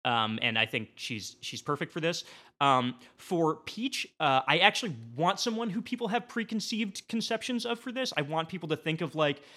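A man speaking as a podcast guest, making a rambling, mock-serious comedic pitch in a casual panel debate. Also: lively intonation, normal speed, bright, forceful tone.

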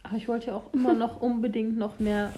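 A young woman chatting candidly in casual conversation, with some halting restarts. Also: a little high, flat delivery, dark tone, a little hiss or hum. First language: German.

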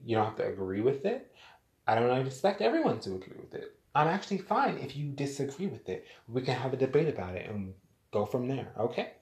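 An American man delivering a blunt, exasperated, lecturing opinion monologue straight to his audience. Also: mid-range voice. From United States. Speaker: vlogger giving opinions. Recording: roomy echo.

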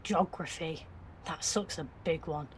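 A woman's voice: low voice, unhurried, slight background noise. An actress playing a sulky, defiant nineteen-year-old girl in an audio play, blunt and slangy. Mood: cheeky. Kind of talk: audio theatre acting.

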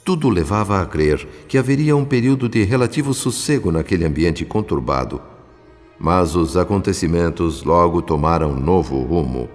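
An actor playing a solemn, compassionate spirit mentor, narrating a radio drama in formal, measured phrases. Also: male voice, low voice, lively intonation, a little mellow. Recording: long reverb, music underneath.

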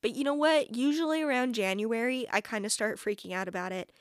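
A woman's voice: a little high, fairly quick, clean tone, no room sound, studio quality. A woman in her twenties hosting a podcast solo, chatting casually and informally with a lot of "like" sprinkled in. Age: 20s. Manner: chatty, informal, heavy use of "like". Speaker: podcast host.

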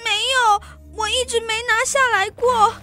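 An actress playing an anxious, flustered and plaintive young wife in a literary radio drama. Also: female voice, very high-pitched, steady tone, unhurried, pushed and bright, faint music behind, full character voice. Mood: panicked, tearful. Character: distraught young wife who lost a borrowed necklace.

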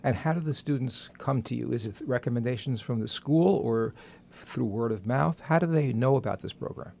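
A man's voice: mid-range voice, normal speed, vocal fry, dark tone. A man with a New York accent hosting a radio interview, chatty and joking at his own expense. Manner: chatty, joking, self-deprecating. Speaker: radio host. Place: radio studio.

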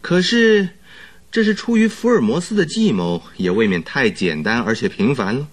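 An actor playing a detective's loyal but sceptical sidekick in a radio drama, questioning and grumbling. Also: male voice, medium pitch, very animated, normal speed. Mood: unimpressed, grumbling. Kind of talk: Mandarin radio drama acting.

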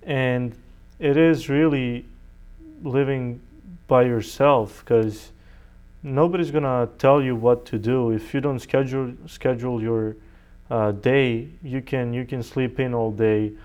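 Clear-voiced young man, native Serbian speaker. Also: medium pitch, steady tone, slow, darkish tone. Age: young adult. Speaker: interview guest, college student. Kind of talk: conversational interview answers.

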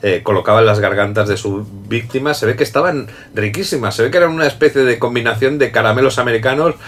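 A man's voice: medium pitch, quick pace. A man hosting a casual film chat, talking loosely, laughing often and restarting sentences. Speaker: host. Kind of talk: casual chat about films.

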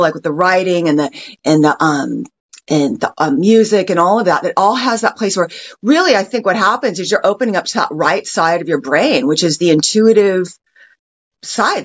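A woman's voice: mid-range voice, slightly rough, muffled recording. An American woman, a guest artist, talking in a casual podcast chat, reflective and meandering with frequent restarts. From United States.

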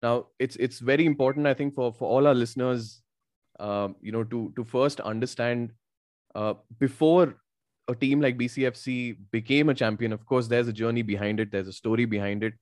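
A man's voice: mid-range voice, steady tone, moderate pace, darkish tone, no room sound, clean studio sound. A man hosting a podcast, chatting with his guest in an encouraging way, often repeating words and ending with "right?". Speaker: podcast host. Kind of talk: casual interview chat.

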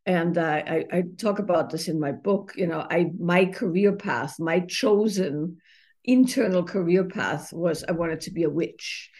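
A middle-aged woman from Austria, a therapist and author, giving thoughtful interview answers with many pauses and fillers. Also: lowish voice, darkish tone.

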